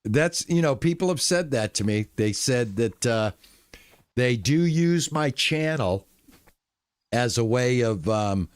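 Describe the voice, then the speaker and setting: Relaxed, lively-voiced male game streamer. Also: mid-range voice, slow pace, clean tone, no echo. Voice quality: lulling, relaxing voice. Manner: relaxed, chatty, talks to chat. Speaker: gaming streamer. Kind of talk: live gameplay commentary.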